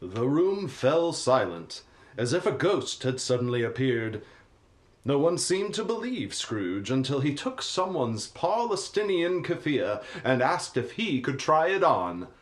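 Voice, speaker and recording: A man narrating a radio play like a storybook, with a dramatic, deliberate delivery. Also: medium pitch, big pitch swings.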